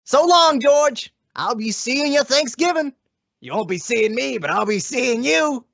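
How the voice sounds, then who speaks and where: A performer acts a shady wise-guy boyfriend from a vintage radio sitcom. His patter is slangy and cocky, lively in pitch with a creaky edge. The sound is muffled. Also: measured pace, no room sound, full character voice. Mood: breezy. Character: shady wisecracking schemer boyfriend, a man. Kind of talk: old-time radio comedy acting. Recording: dull, lo-fi, dry audio.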